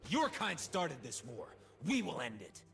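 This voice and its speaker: A flamboyant, vain bird-man warrior from a video game, with a high, slightly rough voice. His pitch swings wildly as he swaggers and mocks, theatrical and playful, over music. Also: wildly swooping pitch, measured pace, a bit bright, heavily acted. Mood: grave defiance. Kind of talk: video game character voice lines.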